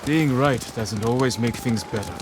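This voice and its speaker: An actor playing a stoic, dutiful samurai outlaw in a dramatic game cutscene, speaking in a solemn, measured, earnest manner. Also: male voice, medium pitch, background noise, close to an everyday voice. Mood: solemn, regretful. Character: stoic, dutiful samurai man turned outlaw, guilt-laden but resolute.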